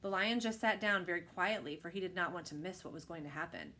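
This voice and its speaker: A woman reading a children's picture book aloud with expressive narration. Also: on the low side, quick pace.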